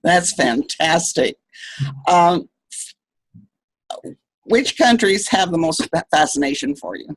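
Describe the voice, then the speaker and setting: A woman's voice: lowish voice, expressive, takes it slowly, pauses often, no echo, low-quality recording. A woman hosting an author interview, asking questions with short friendly reactions. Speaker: interview host.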